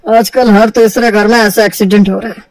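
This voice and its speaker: A woman's voice: medium pitch, fairly even intonation, brisk, no room sound, recorded from a distance, pitched below the performer's own voice, close to an everyday voice. A woman voicing a reassuring, matter-of-fact male family doctor who explains things in an acted storybook. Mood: calm, explaining. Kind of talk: acted Hindi audio storybook.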